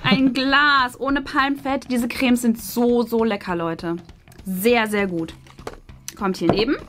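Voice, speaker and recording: A woman's voice: on the high side, fairly even intonation, slow, music in the background. A woman in her thirties chatting casually and enthusiastically to viewers as she hosts an unboxing. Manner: gushing, enthusiastic. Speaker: host unboxing an advent calendar. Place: small furnished indoor studio.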